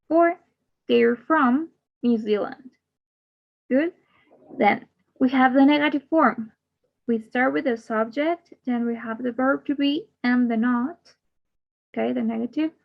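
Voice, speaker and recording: Slow, patient woman teacher. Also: on the high side, vocal fry. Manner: slow, repeats each example then translates it. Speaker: English teacher. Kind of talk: bilingual grammar lesson. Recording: muffled lo-fi audio.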